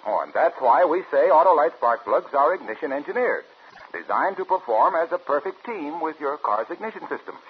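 A man with a husky voice working as a commercial announcer, giving an emphatic scripted 1950s radio sales pitch. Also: sing-song, fairly quick, slight background noise. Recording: dull vintage radio recording.

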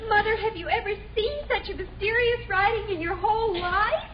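On a muffled old radio recording with an audience, a very high, piping little American girl. She is gushing, stubborn and whiny, in 1950s sitcom style. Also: normal speed, a crowd in the background, background noise. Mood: gushing excitement.

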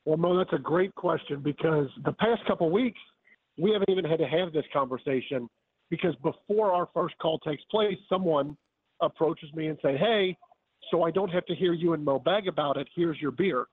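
A slightly hoarse man calling in to a radio show, chatty and joking as he runs through promo details. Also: on the high side, moderate pace, dry recording. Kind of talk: casual call-in chat. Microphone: phone. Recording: tinny phone line.

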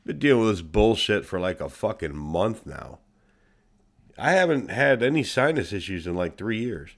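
A man's voice: lowish voice, moderate pace. A man hosting his own podcast, talking casually and bluntly with a congested, nasal voice and lots of swearing. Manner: blunt, profane, animated. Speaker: podcast host. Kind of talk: casual solo podcast monologue.

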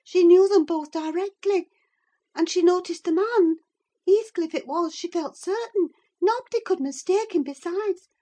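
A breathless young country maid, pitched very high above everyday speech. She gushes excitably, like a frightened storybook servant spilling news. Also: a little slow. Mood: breathless gossiping. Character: panicked, breathless young servant maid, chattering rustic gossip. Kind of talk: audiobook character acting.

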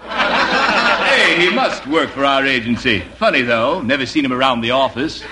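Guy doing friendly confused commuter ad man, old radio comedy, mid pitch, noisy tape. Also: animated, moderate pace, lightly acted. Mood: puzzled, friendly. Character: affable, puzzled suburban commuter ad man. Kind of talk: 1950s radio comedy acting.

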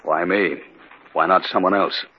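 An actor playing an obsessed, preoccupied husband with an intense, clipped manner, in 1950s radio drama style. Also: male voice, sits low, steady tone, measured pace. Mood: determined. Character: husband obsessed with a cursed silver flask. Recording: muffled, hissy old radio recording.

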